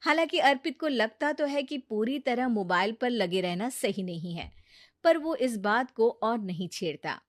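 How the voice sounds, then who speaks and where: Woman storyteller, fairly high, dry recording. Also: expressive, measured pace, dry recording. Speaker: narrator. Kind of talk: scripted narration of a moral story.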